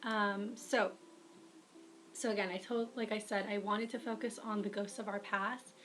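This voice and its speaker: Young woman, raspy voice, webcam vlog, earnest and friendly advice-giver, mid-range pitch. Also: fairly even intonation, normal speed, fairly bright tone, a little hiss or hum. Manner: earnest, talks to viewers as a friend. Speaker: writer giving advice.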